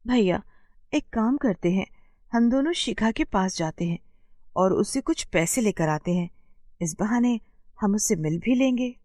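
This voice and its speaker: A woman's voice: medium pitch, lively intonation, a little slow, mellow, no room sound, clean studio sound, close to an everyday voice. A woman voicing a devoted, caring young sister, earnest and protective, in a storybook tale. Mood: practical. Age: young adult.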